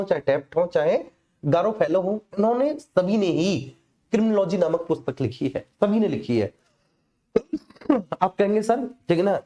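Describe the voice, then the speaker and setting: This is a man with a North Indian accent and a soft-edged, mellow voice. He lectures like a coaching teacher on a live class, in everyday colloquial speech. His delivery is didactic, punctuated by small check-in tags to his students. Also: high voice. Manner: colloquial, didactic, checks in with repeated tag phrases. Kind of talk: live online exam lecture with personal anecdotes.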